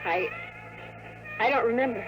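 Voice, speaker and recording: A woman's voice: on the high side, normal speed, music in the background, close to an everyday voice, poor audio. An actress playing an anxious, kind young woman, hesitant and pleading, in a 1950s radio drama. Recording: old radio recording.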